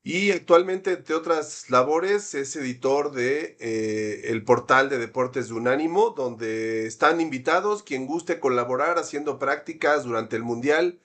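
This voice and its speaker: A man hosting an academic seminar, introducing a guest in a friendly, measured way. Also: medium pitch, fairly bright tone. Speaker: seminar host.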